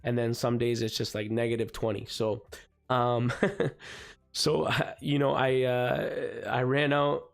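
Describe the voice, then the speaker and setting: A man with a slightly raspy voice from the cold hosting a live trading stream, chatting casually and filling gaps with "guys" and "you know what I mean". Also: medium pitch, little pitch movement, slow. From Canada. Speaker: forex trading livestream host. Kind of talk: live market commentary. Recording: faint music behind.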